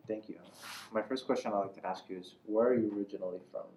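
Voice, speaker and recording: A man's voice: fairly low pitch, fast, mellow, distant mic. A man interviewing a guest in a casual, rambling way full of filler phrases. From United States. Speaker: interviewer, host. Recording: echoey room, muffled, faint noise.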